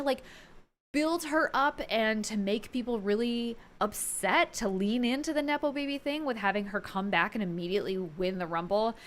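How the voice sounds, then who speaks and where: A woman giving casual, enthusiastic opinion commentary as a video host, chatty and informal with emphatic delivery. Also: mid-range voice.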